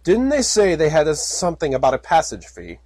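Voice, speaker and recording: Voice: medium pitch, wildly swooping pitch, a little fast, a little mellow, no room sound, voice pushed up. A storyteller voicing a big, skeptical male unicorn guard who talks in a dry, matter-of-fact way.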